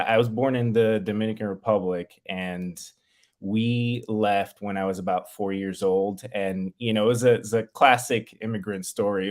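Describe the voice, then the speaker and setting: A man's voice: fairly low pitch, a little mellow. A man in his thirties from New York City, a physician talking about his career in a friendly, eager way, often repeating words as he restarts. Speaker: infectious disease physician, guest. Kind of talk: casual interview.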